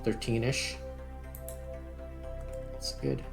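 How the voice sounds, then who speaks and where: A man's voice: medium pitch, big pitch swings, drawn-out words, music in the background. A man doing casual gameplay commentary, thinking aloud and talking through each step as he plays. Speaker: gaming video creator.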